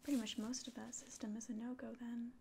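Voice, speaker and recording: A young woman whispering softly and breathily in a gentle, hesitant ASMR medical exam roleplay, close to the mic. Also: a little high, little pitch movement, fast, a little hiss or hum. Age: young adult. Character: polite, clinical alien examiner. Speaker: ASMR performer. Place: small room indoors.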